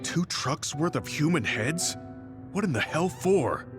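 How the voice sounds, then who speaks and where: An actor playing a level-headed, observant soldier in a video game cutscene. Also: male voice, on the high side, lively intonation, unhurried, over music. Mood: puzzled.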